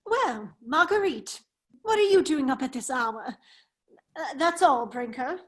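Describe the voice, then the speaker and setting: A very high-pitched, foppish English nobleman acted by a woman in a radio play. The delivery is slow and affected, full of dithering exclamations and idle teasing. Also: animated. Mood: cool, reproachful. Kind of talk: radio play table read.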